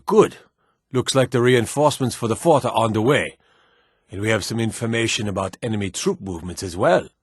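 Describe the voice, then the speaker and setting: An actor playing a stern, battle-hardened soldier in a fantasy war video game, with earnest, commanding, formal delivery. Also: male voice, on the low side, husky, no room sound, studio quality. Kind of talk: scripted video game voice acting.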